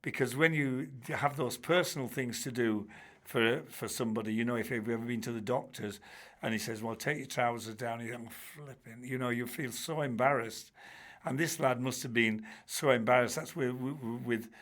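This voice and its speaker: A man's voice: medium pitch, steady tone, normal speed. An older man, a former teacher telling stories in a chatty interview, full of restarts and asides. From Bradford, England. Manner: chatty, warm-humoured, many restarts and "you know"s. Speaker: guest, charity trustee and former teacher. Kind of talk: relaxed storytelling in an interview.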